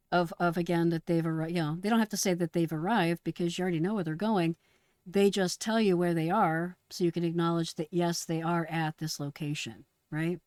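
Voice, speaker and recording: Woman, lower register, dry close sound, conversational explainer walking listeners through procedures. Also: a little mellow, no room sound. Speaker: retired dispatcher presenting radio traffic. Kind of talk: casual explanatory commentary.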